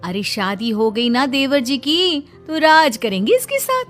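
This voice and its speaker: A woman's voice: sits high, very animated, unhurried, music in the background. A woman voicing a scheming elder daughter-in-law in a cartoon, talking in a sugary, coaxing way. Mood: sweet-talking. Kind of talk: cartoon voice acting.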